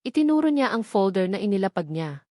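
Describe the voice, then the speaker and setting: A woman narrates a dramatic love story. Her voice sits at a middle pitch for a woman. The recording is dry, with no room around it. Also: very animated, quick pace, a little mellow, no room sound. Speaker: narrator of audio love stories.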